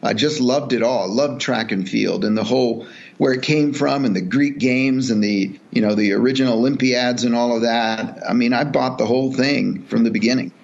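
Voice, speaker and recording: A middle-aged man from El Salvador tells his story earnestly and reflectively, pausing on fillers and restarting his sentences. His voice sits at an ordinary pitch for a man. The sound is a little dull and lo-fi. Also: vocal fry, darkish tone. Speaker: podcast guest, charity executive. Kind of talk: relaxed storytelling interview.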